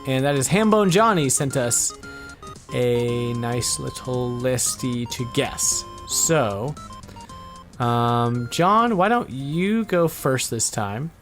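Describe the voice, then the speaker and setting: A man's voice: medium pitch, animated, slow, a bit bright, soft background music. A man co-hosting a casual podcast, chatting playfully and sometimes muttering to himself in mock exasperation. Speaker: podcast co-host. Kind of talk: casual podcast chat about retro games.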